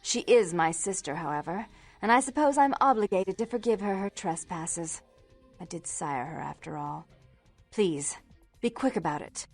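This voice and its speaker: Haughty, imperious vampire woman, low-voiced. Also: expressive, normal speed, darkish tone, soft background music. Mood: restrained, businesslike. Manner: formal, haughty, clipped articulate speech. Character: stern, imperious vampire club owner, indignant elder sister. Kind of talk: video game voice acting.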